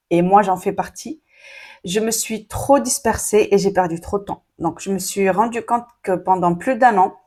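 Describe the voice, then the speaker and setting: A woman giving casual online-business advice straight to her viewers, chatty and full of fillers, with a hint of an Arabic first language. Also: medium pitch. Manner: chatty, frequent fillers, addresses viewers directly. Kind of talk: casual talking-to-camera advice.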